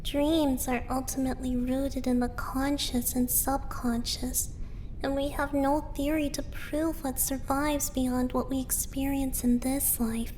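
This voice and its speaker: A woman playing a thoughtful, analytical female sage in an audio roleplay, measured and articulate. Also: high-pitched, little pitch movement, a little mellow, slight background noise, rough recording. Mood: cautious, analytical.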